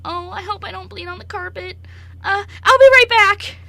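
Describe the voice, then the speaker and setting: A woman's voice: very high-pitched, normal speed, pitched above the performer's own voice. A woman voicing a clumsy, anxious young male dog-boy servant in an amateur radio play, apologetic, polite and easily flustered. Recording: amateur radio show recording.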